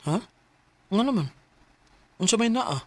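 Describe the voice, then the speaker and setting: A Cebuano actor playing a self-centred, stubborn young boyfriend in a radio drama, with a casual, dismissive manner. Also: male voice, sits high, animated, measured pace, a little mellow. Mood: reluctant. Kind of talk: Filipino radio drama acting.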